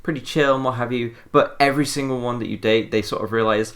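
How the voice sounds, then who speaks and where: A podcast co-host with a medium-pitched voice in casual conversation. The delivery is excitable and rambling, pausing and circling back while thinking out loud. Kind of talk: casual brainstorming chat.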